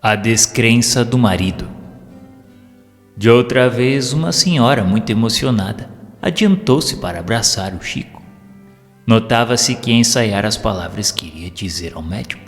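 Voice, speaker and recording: Brazilian man, low voice, audiobook narration, guitar music behind, long echo tail. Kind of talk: scripted narration of spiritual anecdotes.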